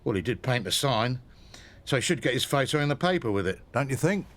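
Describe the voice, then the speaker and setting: An actor playing a cheeky, jokey older pub landlord in a radio soap. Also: male voice, mid-range voice, very animated, fairly quick, a bit bright. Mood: playful.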